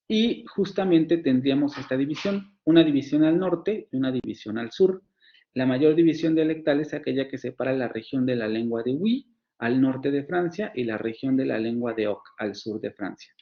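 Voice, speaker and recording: A man with a Mexican Spanish accent, a university phonetics professor giving an online lecture, explaining with frequent fillers, restarts and rhetorical questions. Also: medium pitch. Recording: dull lo-fi sound.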